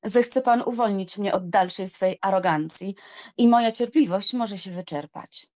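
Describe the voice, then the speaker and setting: A woman's voice: medium pitch, measured pace, a little mellow, lightly acted. A woman acting a proud, scornful young noblewoman in an audiobook drama, speaking in a cold, formal, haughty manner. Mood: cold, offended.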